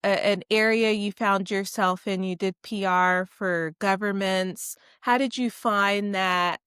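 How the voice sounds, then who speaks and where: A woman hosting a podcast, asking her guest questions in a relaxed, measured way. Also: medium pitch, clean tone, no echo, clean studio sound. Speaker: podcast host. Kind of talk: casual interview chat.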